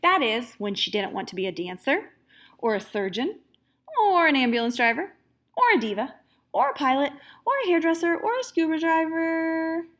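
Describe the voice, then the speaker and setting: A woman reads a picture book aloud like a kindergarten teacher. Her voice is high and swings widely in pitch, with expressive storytelling and little asides to the children. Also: moderate pace. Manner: animated, asks young listeners questions.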